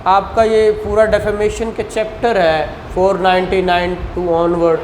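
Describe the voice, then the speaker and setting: A man with a Pakistani accent gives an explanatory law lesson at an unhurried pace. He reads legal text phrase by phrase, then unpacks it conversationally with rhetorical questions. There is some noise in the recording. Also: high-pitched, little pitch movement, low-quality recording. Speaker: law teacher. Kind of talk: explanatory law lesson, reading statutes aloud then paraphrasing.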